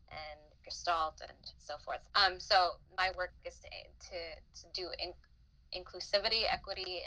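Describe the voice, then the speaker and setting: A young woman from the Philippines speaks as a podcast guest, picking her words with care and often pausing on fillers. Her voice sits a little low but sounds bright and forward. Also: poor audio. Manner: thoughtful, with frequent fillers and restarts. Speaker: podcast guest, psychotherapist in training. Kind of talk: reflective interview talk.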